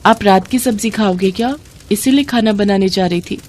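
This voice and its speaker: A woman's voice: mid-range voice, moderate pace, darkish tone, close to an everyday voice. A woman voicing a meek, dutiful young daughter-in-law, speaking politely and hesitantly in a storybook tale.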